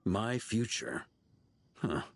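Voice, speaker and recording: An actor playing an easygoing knight commander in a video game, unhurried and wry, musing wistfully and teasing playfully. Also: male voice, on the low side, animated, no room sound, full character voice. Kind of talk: video game character dialogue.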